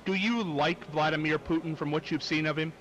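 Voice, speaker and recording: A man hosting a talk show, asking an interview guest questions slowly and deliberately. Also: on the high side, fairly even intonation, a bit bright, a little hiss or hum, poor audio. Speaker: host and interviewer.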